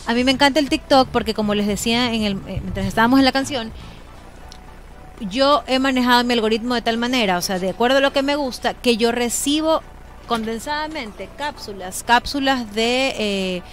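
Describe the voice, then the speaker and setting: A woman's voice: on the high side, a little fast. A woman, talking casually as a co-host in a radio studio. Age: 40s. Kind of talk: casual radio panel chat. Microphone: studio broadcast microphone. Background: faint music bed.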